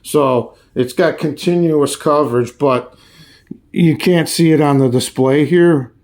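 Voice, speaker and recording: A man giving a casual, hands-on review in a halting, folksy way, often restarting his phrases. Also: fairly high pitch, darkish tone. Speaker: radio reviewer. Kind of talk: casual hands-on product demonstration.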